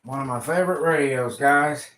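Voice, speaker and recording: A man's voice: a little high, normal speed, fairly bright tone. A man chatting casually to viewers as he hosts a hobbyist radio livestream. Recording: clearly noisy live stream with clicks.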